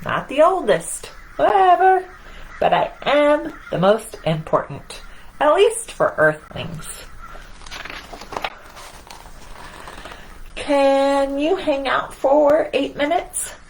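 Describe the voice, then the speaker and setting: A woman plays a cocky storybook Sun, very full of itself. The voice is high and swings widely as it brags with theatrical emphasis, over clear background noise. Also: sing-song, slow, heavily acted, rough recording. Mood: proud, boastful. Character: boastful, cocky, self-important Sun, a star. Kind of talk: children's picture-book read-aloud.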